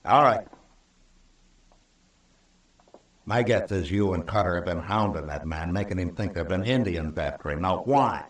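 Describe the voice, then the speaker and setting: Deep, stern frontier marshal. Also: male voice, expressive. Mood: stern, insistent. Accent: American. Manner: firm, deliberate, authoritative. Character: stern, fair-minded male frontier US marshal. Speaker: lead actor and narrator. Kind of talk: 1950s American radio western acting. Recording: old radio recording, roomy and distant.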